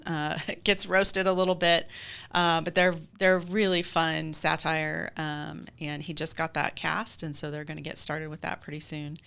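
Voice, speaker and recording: A woman's voice: low voice, vocal fry, a bit bright, clean tone. A woman with an American accent speaking casually as a theater group's guest in an interview.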